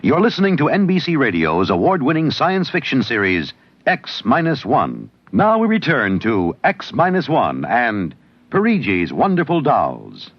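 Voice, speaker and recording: A man's voice: mid-range voice, wildly swooping pitch, measured pace. An American man announcing in the style of 1950s network radio drama. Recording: muffled old radio recording.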